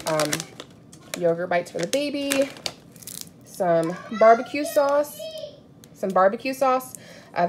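A woman's voice: medium pitch, a little mellow. An American woman in her thirties chatting casually and candidly to camera in a rambling, conversational way, recorded on a handheld phone at home. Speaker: home vlogger. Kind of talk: casual chat showing groceries to camera. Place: indoors in a home kitchen. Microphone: handheld phone at arm's length. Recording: clearly noisy home recording, crinkling packaging.

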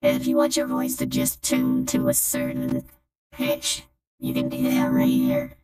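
A man voicing a young woman with a robotic touch through a voice changer, casually demonstrating software. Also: completely flat delivery, unhurried, faint music behind. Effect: voice changer: female, robotic, pitch-tuned, delay.

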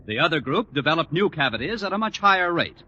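A man's voice: fairly high pitch, sing-song, fast talker, bright, forceful tone, dull audio. A male announcer delivering a scripted 1940s radio commercial in an emphatic, polished sales style.

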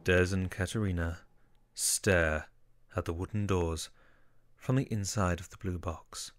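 A man's voice: low-pitched, fairly even intonation, a little slow. A man reading scripted narration for an audio drama. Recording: faint background noise.

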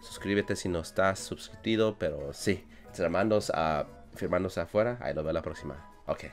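A young man with a low, deep voice chats casually through his Spanish, which carries an English accent. He restarts his words and laughs often, as a gaming YouTuber recorded at a desk mic. Quiet music plays faintly underneath. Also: normal speed. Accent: English-speaking learner of Spanish. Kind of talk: casual game commentary. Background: soft background music.

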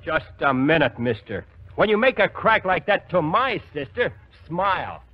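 Brash, animated young radio Marine. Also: male voice, a little high, moderate pace. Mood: cocky. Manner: wisecracking, teasing. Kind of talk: 1940s radio comedy acting. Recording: muffled, noisy 1940s radio recording.

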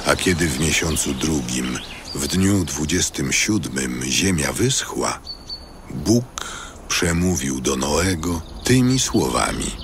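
A man narrating dramatized scripture in a solemn, measured, scripted style. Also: rumbling low, lively intonation, noisy background.

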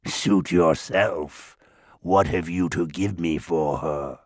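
A haughty dwarf lord, as a narrator puts him on, rumbling at the very bottom of his range. He drags every word out slowly and menacingly, savoring his power. The storybook voice is recorded dry and close. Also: dry recording. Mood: sneering. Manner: slow, gloating and menacing. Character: haughty, scheming dwarf lord, male, adult. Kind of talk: audiobook character voice.

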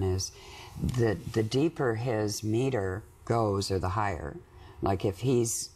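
A middle-aged woman in couples counseling, explaining her feelings in a measured, reflective way with pauses between phrases. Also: extremely low pitch, darkish tone, slight background noise. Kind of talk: reflective personal conversation. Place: small TV-style studio set, indoors.

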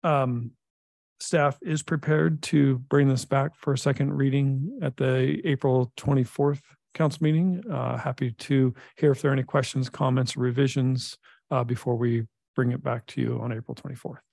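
A middle-aged man, a public works director, presenting a technical report at a council meeting in a measured way with frequent filler pauses. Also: mid-range voice, fairly even intonation, vocal fry, a little mellow, no room sound. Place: city council chamber.

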